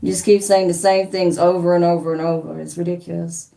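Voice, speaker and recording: A woman with a fairly low voice and a faint New Zealand twang, talking in a loose, rambling way as she hosts a live stream. She brushes off hecklers with blunt scorn. Her voice sounds a little distant from the mic. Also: flat delivery, brisk, gravelly, warm tone. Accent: slight New Zealand twang. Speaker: oracle card reader hosting a live stream. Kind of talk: casual live-stream chat, reading viewer comments aloud.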